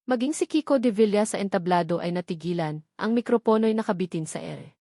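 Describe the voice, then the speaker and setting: A woman's voice: medium pitch, lively intonation, brisk, mellow, no room sound, studio quality. A woman with a Filipino accent narrating a scripted, dramatic love story as a storyteller.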